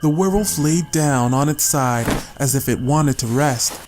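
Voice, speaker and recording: A man's voice: on the high side, unhurried, music in the background. A man narrating a story as a scripted, dramatic audiobook reading.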